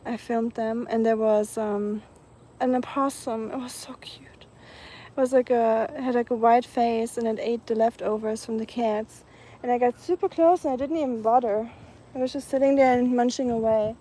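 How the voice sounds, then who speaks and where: A woman from Germany with a German accent, chatting casually and softly outdoors, with many ums. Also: a little high, steady tone, normal speed. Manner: soft-spoken, chatty, many ums, laughs lightly. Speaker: vlogger. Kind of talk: casual live chat outdoors. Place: outdoors in the evening, nature sounds around.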